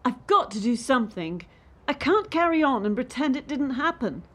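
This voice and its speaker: An actress playing a determined, defiant young gymnast in a radio drama, earnest and insistent. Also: female voice, sits high, big pitch swings, normal speed, fairly bright tone, exaggerated voice.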